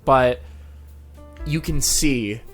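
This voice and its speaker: A man hosts a casual interview in a conversational way. His voice sits at a middle pitch, and music plays faintly behind him. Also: very slow. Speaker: show host, fan fiction reader.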